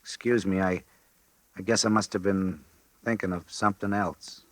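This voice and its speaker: An actor playing a falsely polite, menacing night elevator operator in 1940s radio drama, with deliberate, insinuating courtesy. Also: male voice, low voice, steady tone, no echo, lightly acted. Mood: apologetic.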